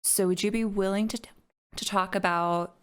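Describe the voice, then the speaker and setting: A woman's voice: mid-range voice, steady tone, moderate pace, clean tone. A woman hosting an interview podcast who asks thoughtful, carefully phrased questions. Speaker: podcast host.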